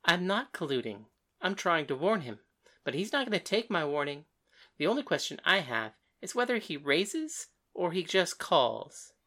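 A woman's voice: lowish voice, very animated, normal speed, a bit bright. A woman voicing a confident, bossy young woman at a poker table in an audiobook story, cool and teasing as she instructs the others. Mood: cool, insistent.

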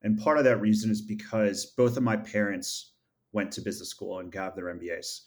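A man from upstate New York, a podcast guest, answering in a measured, earnest way with frequent filler sounds. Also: on the low side, steady tone. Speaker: podcast guest, MBA graduate and founder. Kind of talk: reflective interview answers.

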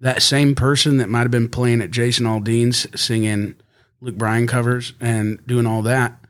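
Man, country singer, casual podcast guest, rambling, laughs often, mid pitch. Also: little pitch movement, moderate pace. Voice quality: high singing voice.

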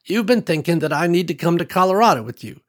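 A man voicing a wise elderly woman farmer who speaks gently and folksily, calling others honey and sweetie. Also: fairly high pitch, expressive, fast talker, voice pushed up, natural voice. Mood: gentle. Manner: gentle folksy drawl with endearments.

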